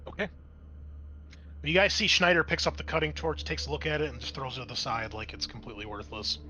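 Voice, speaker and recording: A man's voice: mid-range voice, steady tone, fast talker, very noisy. A man narrating a tabletop horror game as game master, improvising in a conversational, explanatory way.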